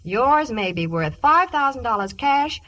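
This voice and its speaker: A woman's voice: on the high side, sing-song, measured pace, a bit bright. A woman with an American accent reading a scripted commercial as an announcer on a vintage 1950s radio broadcast.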